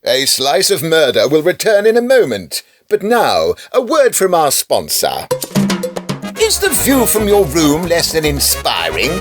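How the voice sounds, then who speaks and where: A man's voice: on the high side, wildly swooping pitch, measured pace, soft background music. A man with an English accent giving dramatic, scripted narration for a comic radio play.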